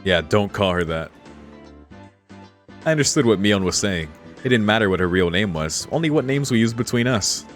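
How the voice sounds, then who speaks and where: A man's voice: lowish voice, animated, moderate pace, long pauses, soft background music. A man reading a visual novel aloud and giving casual, chuckly commentary as a streamer.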